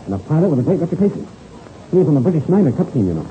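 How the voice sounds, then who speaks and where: Tinny old-radio seasoned pilot. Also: male voice, a little high, big pitch swings, very fast, slight background noise. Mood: easy, assured. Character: confident, seasoned male pilot, friendly senior crewmate. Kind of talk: 1930s radio serial acting. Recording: tinny, band-limited old radio recording.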